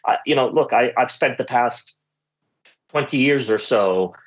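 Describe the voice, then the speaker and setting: A man from the Middle East speaking as an executive panellist, giving measured answers and often pausing to search for words. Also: medium pitch. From Middle East (Lebanon, Kuwait, Dubai). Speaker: panellist, senior finance executive. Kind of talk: panel discussion, considered answers. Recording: dull, muffled lo-fi audio.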